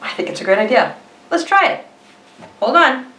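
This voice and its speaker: A woman's voice: on the high side, very animated, moderate pace. A woman hosting a read-along, chatting casually to her viewers. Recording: echoey room, distant mic, faint noise.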